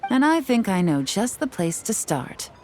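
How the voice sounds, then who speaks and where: A woman voicing a cool, confident female spy in a game, smooth and teasing as she lays out her plans. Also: mid-range voice, big pitch swings, normal speed, slight background noise, natural voice. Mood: wry. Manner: smooth, teasing, self-assured. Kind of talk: video game voice acting. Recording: game audio with soft music behind.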